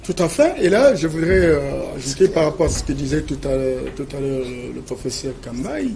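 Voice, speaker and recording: A man's voice: a little high, sing-song, gravelly, dark tone, slight background noise. A man, a guest explaining his points in a TV debate. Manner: measured, explanatory, uses verbal fillers.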